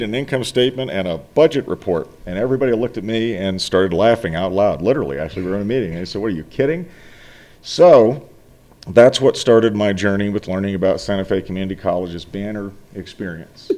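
A man's voice: on the low side, a little mellow. A middle-aged man giving a formal finance report to a board, speaking earnestly and emphatically, often restarting his phrases. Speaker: college finance officer. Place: meeting room, seated at a table. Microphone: desk microphone.

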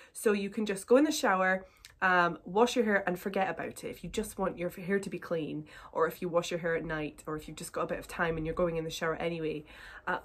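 A British woman in her thirties with a slightly croaky, blocked voice from a cold, chatting to viewers in a casual hair tutorial vlog from her bedroom. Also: mid-range voice. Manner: chatty, upbeat, talks to viewers. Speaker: curly hair YouTuber. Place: bedroom, indoors, small room.

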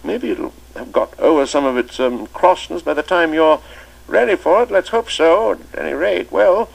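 A man's voice: fairly high pitch, moderate pace, creaky, pitched above the performer's own voice, rough recording. A man reading an audiobook, voicing a kindly elderly male schoolmaster who hesitates with many ums and uhs. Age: older.

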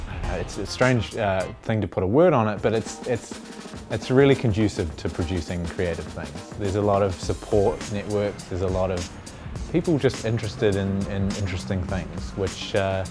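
Deep-voiced young man, reflective interview. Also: moderate pace, music in the background. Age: young adult. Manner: reflective, with frequent restarts and filler "uh". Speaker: designer, studio founder. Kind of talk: documentary interview. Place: large indoor design workshop. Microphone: lapel mic.

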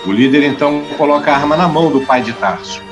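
A man's voice: fairly high pitch, fast talker. A man narrating a scripted audio drama, reading out the scene action. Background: clear music.

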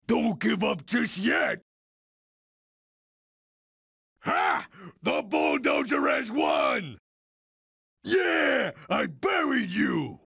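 Cocky thug supervillain for a video game, super raspy, yelling taunts, pitch swinging all over. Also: male voice, dry recording, clean studio sound, exaggerated voice. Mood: gloating. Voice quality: gravelly, raspy. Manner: boastful, menacing shouted taunts. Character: brutish, cocky male supervillain bruiser, taunting and bullying. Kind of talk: video game combat barks.

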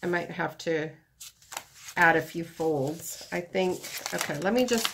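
Casual craft host, woman, stuffy-nosed, gravelly low voice, unhurried rambling delivery, noisy tabletop recording. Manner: chatty, rambling, relaxed while working with her hands. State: stuffy nose from a cold. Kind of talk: casual craft tutorial talk. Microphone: camera above a tabletop, hands only.

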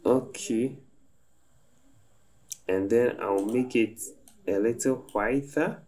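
A man calmly talking through a design software tutorial step by step as he works at his computer. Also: medium pitch. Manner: slow, step-by-step instructive talk. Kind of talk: screen-recorded software tutorial narration.

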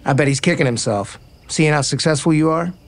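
An actor playing an easygoing, kind, joking baseball player in a naturalistic romantic comedy audio drama. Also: male voice, fairly high pitch, a little fast, lightly acted. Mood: warm, candid. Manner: casual, joking, frank.

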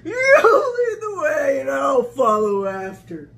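A woman voicing a big, gullible male monster in a children's storybook, booming and drawn out. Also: wildly swooping pitch, slow, vocal fry, fairly bright tone, heavily acted. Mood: laughing, scornful. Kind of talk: children's storybook read-aloud.

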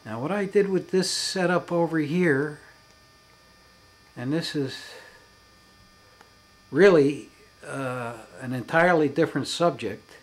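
Slow-talking man with a husky voice. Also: a little high, rough recording. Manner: halting, broken phrases with emphatic stresses. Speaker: hobbyist demonstrating his electronics experiments. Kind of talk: unscripted demonstration narration.

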